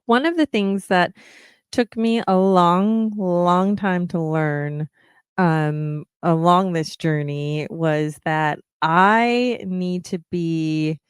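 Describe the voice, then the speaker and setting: Slow, earnest woman podcaster. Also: deep voice, smooth, no room sound, clean studio sound. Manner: earnest, encouraging, many ums. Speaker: podcast host, singer. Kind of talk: reflective solo podcast talk.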